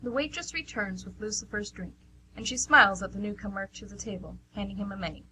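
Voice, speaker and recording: Bright-voiced woman narrating a radio play. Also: medium pitch, fast talker, breathy edge. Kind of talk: scripted stage-direction narration. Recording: noisy amateur radio recording with hum.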